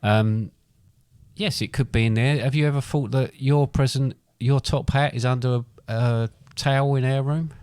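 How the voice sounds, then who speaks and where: A man's voice: medium pitch, steady tone, moderate pace, darkish tone, clean tone, no room sound, clean studio sound. A middle-aged man, co-hosting a podcast in casual chat. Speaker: podcast co-host.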